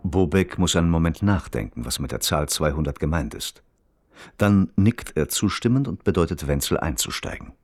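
Extremely deep male radio play narrator. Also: animated, moderate pace, a little mellow, slight background noise. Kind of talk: scripted narration, documentary-like report. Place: dry studio.

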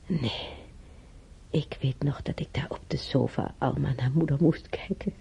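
A man playing an elderly German emigrant in a radio drama, reminiscing in a rambling, halting way with a German accent and husky, creaky voice. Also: mid-range voice, mellow, whispered, close to an everyday voice. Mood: amused, nostalgic. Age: older. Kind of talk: intimate radio drama monologue.

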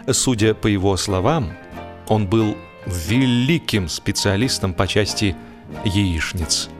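A man narrating a humorous story as an audiobook reader, in an expressive, theatrical storytelling manner. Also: fairly low pitch.

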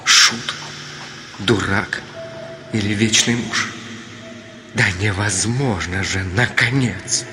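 An actor playing a worldly, ironic middle-aged gentleman in a radio drama, wry and urbane. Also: fairly low pitch, takes it slowly, pauses often, music in the background. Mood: sceptical, brooding. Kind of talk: theatrical Russian radio drama.

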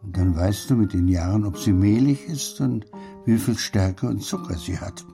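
A man's voice: fairly low pitch, normal speed, soft background music. An actor playing a wise, earthy old grandfather in a radio play, musing and instructing. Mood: fond lecturing. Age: older. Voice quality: gravelly, mellow.

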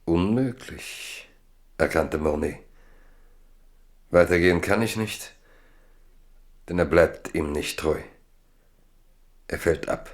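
Casting: male narrator, low register, literary radio drama, deliberate dramatic storytelling.

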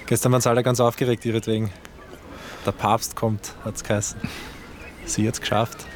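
A man's voice: on the low side, little pitch movement, moderate pace, slight background noise, lightly acted. An actor playing a young, chatty male camera assistant from Vienna, speaking with a Viennese accent and dry irony. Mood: sardonic. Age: young adult. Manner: dry, ironic remarks. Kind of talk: radio drama acting.

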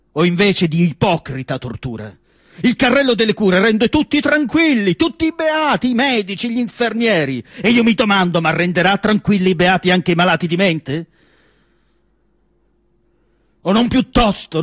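Guy acting an earnest male psychiatrist looking back, stage monologue, quite high voice, measured w/ pauses, really dry close sound. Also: no room sound. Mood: questioning, troubled. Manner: measured, rhetorical, with deliberate pauses. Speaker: stage actor. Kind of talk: theatrical monologue.

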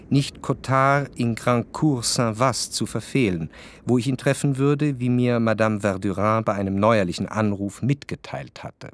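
A man narrating literary prose for a radio drama in measured, deliberate phrases. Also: medium pitch. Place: radio studio. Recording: dry studio with music behind.

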